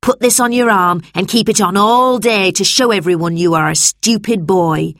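A woman's voice: mid-range voice, moderate pace, no room sound, studio quality, lightly acted. A woman voicing a large, angry schoolmistress from a children's storybook, imperious and scolding. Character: large, stern, bad-tempered schoolmistress who bullies children.

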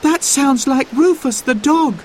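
A man's voice: high-pitched, a little slow, noisy background, pitched above the performer's own voice. A man voicing a frightened young girl in a storybook reading. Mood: urgent. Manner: anxious, pleading.

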